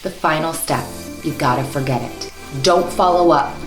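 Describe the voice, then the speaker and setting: A woman's voice: low voice, moderate pace, roomy sound, over music, rough recording. A woman in her thirties, a voice actor, hosting an upbeat instructional vlog to camera from a small room at home and gesturing a lot as she talks. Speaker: voice actor and host.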